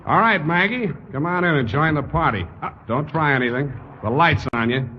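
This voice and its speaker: A man's voice: a little high, normal speed, lightly acted. Wry tough-guy private eye, played by a male actor, sardonic and clipped, old radio drama, dull noisy recording. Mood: cool, taunting. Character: wry hard-boiled male private detective. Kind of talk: 1940s radio drama acting.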